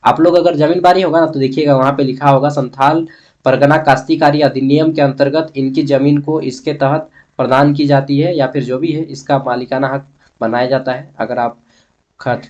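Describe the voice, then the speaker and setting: A man's voice: mid-range voice, darkish tone, dull audio. A man with a Jharkhand Hindi accent giving an informal exam-prep lesson as a teacher, conversational, repeating key terms and answering his own questions. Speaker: history teacher.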